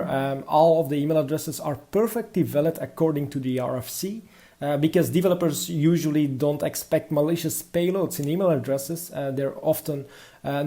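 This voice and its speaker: Belgian-accented man giving a relaxed talk. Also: on the high side. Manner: relaxed explanatory delivery with frequent "uh" fillers. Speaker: conference speaker. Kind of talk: prepared conference talk.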